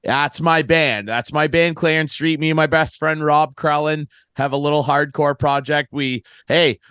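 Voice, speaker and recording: Hoarse man co-hosting, dry studio sound. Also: fairly high pitch, fairly even intonation, moderate pace, fairly bright tone, no room sound. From Canada. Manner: upbeat, joking banter. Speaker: co-host. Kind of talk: casual podcast chat.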